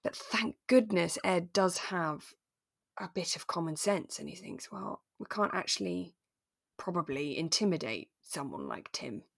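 A British woman co-hosts a relaxed podcast. Her voice sits a little low for a woman and is recorded dry, with very little room sound. She talks in an informal, conversational way. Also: a little slow, gravelly, slightly rough, dry recording. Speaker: podcast co-host. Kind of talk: relaxed casual chat about a radio drama.

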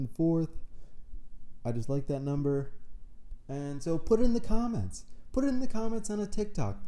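Man, from Canada, podcast host, nasal with a cold, tired, rambling, mid-pitched, very warm and dark. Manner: rambling, self-deprecating, chatty. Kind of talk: casual solo sports podcast. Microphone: own podcast microphone.

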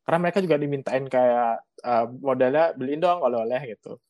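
A woman's voice: a bass voice, brisk, darkish tone, no echo. A young woman from Bali, a student guest on a podcast, chatting casually with lots of fillers and laughter. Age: young adult. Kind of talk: casual chat.

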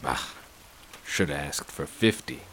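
Deep, slow-drawling cynical noir detective. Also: male voice, expressive, gravelly, a bit bright, slight background noise, full character voice. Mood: wry, grumbling. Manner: sardonic, terse, grumbling. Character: gruff cynical down-on-his-luck war veteran turned detective. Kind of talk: 1930s noir audio drama acting.

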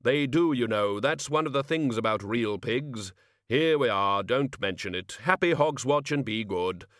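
A man voicing a jolly, booming old winter gift-giver who laughs heartily, in a storybook reading. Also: lively intonation, unhurried, fairly bright tone, dry recording, clean studio sound. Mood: jovial.

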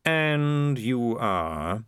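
A man's voice: mid-range voice, big pitch swings, drawn-out words, a bit bright, clear, resonant tone, no echo, studio quality, heavily acted. Man voicing a cool, withering, menacing piper. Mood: disdainful. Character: cool, menacing, disdainful male piper feared by townsfolk.

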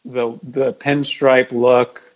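A man with a mid-pitched voice is a guest in casual sports podcast talk. He sounds understated and agreeable, feeling his way through his answers. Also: little pitch movement, slow pace, darkish tone, muffled recording.

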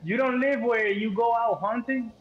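Young Cuban man, high voice, animated chatty guest, echoey muffled room sound. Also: moderate pace, a crowd in the background. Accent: Cuban Spanish. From Cuba. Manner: animated, repeats words, heavy on "like" and "you know". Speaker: podcast guest. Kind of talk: casual chat. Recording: dull echoey room audio with faint hiss.

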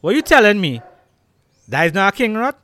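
A man voicing a brash stranger with a thick Trinidadian accent who loudly insists he is right. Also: high voice, sing-song, brisk, voice pushed up, exaggerated voice. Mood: indignant. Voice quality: loud, heavy local dialect.

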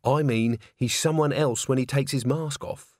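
A man's voice: mid-range voice, normal speed, no room sound, clean studio sound, close to an everyday voice. A man voicing an earnest, anxious young woman who speaks hesitantly and doubts herself, in an audiobook story. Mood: insistent. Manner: hesitant, stammering.

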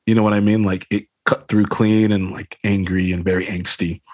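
Low husky man rambling on a call. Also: flat delivery, moderate pace, a little mellow, dry recording. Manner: rambling, swears a lot, many fillers like "you know what I mean". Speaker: band frontman, interview guest. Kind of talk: casual interview chat. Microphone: video call.

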